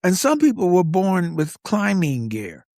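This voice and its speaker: Higher-pitched, animated male podcast co-host. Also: measured pace, no echo, studio quality. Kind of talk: scripted conversational podcast commentary.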